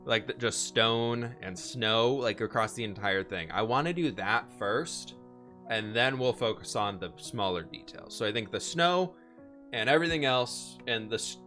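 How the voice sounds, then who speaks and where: A man streaming a building game, upbeat and chatty, his voice a little hoarse, answering questions from chat. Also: on the low side, fairly bright tone. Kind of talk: casual live-stream chat while building.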